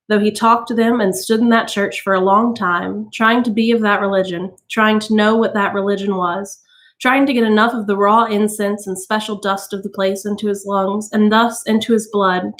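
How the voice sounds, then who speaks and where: A woman reading a novel aloud as a storytime narrator, with expressive delivery. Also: medium pitch. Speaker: storytime reader.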